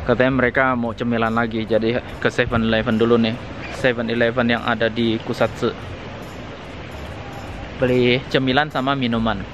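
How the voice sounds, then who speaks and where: A man's voice: medium pitch, little pitch movement, normal speed, highs cut off, heavy background noise. A young man hosting a casual travel vlog, speaking enthusiastically outdoors into a handheld camera. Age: young adult. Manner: enthusiastic, exclaiming. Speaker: vlogger, host. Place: outdoors at night in a hot spring town. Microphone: handheld camera at arm's length.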